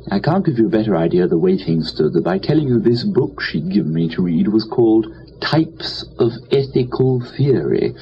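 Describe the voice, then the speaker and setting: A man playing a foppish, cheerful, dim young English gentleman, telling a comic story in chatty old-fashioned upper-class slang. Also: fairly low pitch, breathy edge, heavily acted. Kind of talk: dramatised first-person comic storytelling. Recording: dull lo-fi with faint noise.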